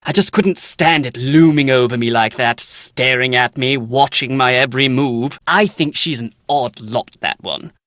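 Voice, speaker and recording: A man's voice: mid-range voice, unhurried, few pauses, a bit bright, dry recording, clean studio sound, natural voice. A man playing a pompous, precise British scholar in a video game. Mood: uneasy, disdainful. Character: pompous, nervous British male scholar writing his memoirs. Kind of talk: scripted video game dialogue.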